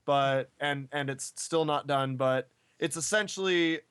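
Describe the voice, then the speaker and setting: A man's voice: fairly high pitch, fairly bright tone, clear, resonant tone. A man chatting casually as a participant in a group podcast, rambling and stammering as he repeats his words. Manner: rambling, halting, stammering repetitions. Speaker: podcast participant, amateur voice actor.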